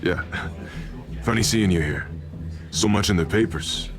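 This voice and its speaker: An actor playing a rough, mocking barroom regular in a video game, with a taunting, familiar drawl. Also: male voice, low voice, unhurried, crowd noise. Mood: mocking, needling. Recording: music under the voice.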